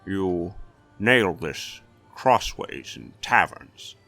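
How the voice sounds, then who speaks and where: A man voicing a stoic, dangerous monster hunter with a resounding voice, calm and terse, in a fantasy audiobook. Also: low-pitched, extremely slow, vocal fry, faint music behind, full character voice. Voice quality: resounding, metallic. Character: stoic, dangerous male monster-hunting stranger.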